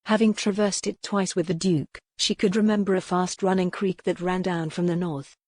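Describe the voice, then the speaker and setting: A woman reading a historical romance audiobook in a measured, dramatic storytelling manner. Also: on the low side, fairly even intonation, a little mellow, no echo. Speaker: audiobook narrator. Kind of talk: scripted fiction narration, historical romance.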